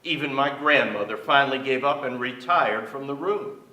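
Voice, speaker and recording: Male actor as a family storyteller, bright forceful voice, theatrical, echoey distant stage. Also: medium pitch, normal speed, lightly acted. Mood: narrating, tense. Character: storyteller recalling tense family scenes. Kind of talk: theatrical literary narration. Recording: echoey theatre, far from mic.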